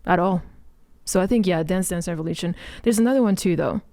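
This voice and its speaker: A woman's voice: lowish voice, fairly quick, creaky, warm tone. A woman co-hosting a casual discussion show, debating in a hesitant way and often repeating her phrases as she counters. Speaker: co-host of a video game list show.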